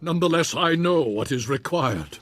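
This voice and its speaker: An actor playing a proud, vengeful baron in a video game, speaking in a formal, imperious and theatrical way. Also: male voice, fairly high pitch, expressive, normal speed, faint music behind. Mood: grave, contrite. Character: proud, vengeful nobleman baron, head of his house. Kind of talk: video game cutscene acting.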